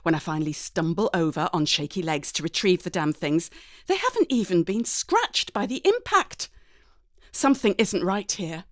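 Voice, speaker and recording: A woman reading a first-person story aloud in an expressive, dramatic way. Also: on the low side, normal speed, dry recording, clean studio sound. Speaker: story reader.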